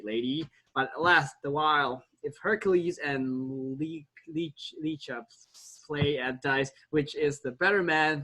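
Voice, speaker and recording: A boastful, lovelorn noble suitor, read by a man in a slightly high voice. He declaims his lines in halting theatrical verse. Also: sharp tone, poor audio. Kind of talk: theatrical Shakespeare reading.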